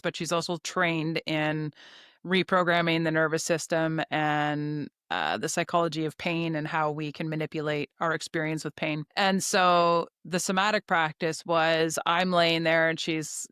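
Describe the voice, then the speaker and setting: A woman's voice: sits low, steady tone, normal speed, a bit bright, clear, resonant tone, no echo, studio quality. A middle-aged woman from Canada, a podcast guest telling her story openly and explaining with examples. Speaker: interview guest. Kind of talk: candid podcast conversation.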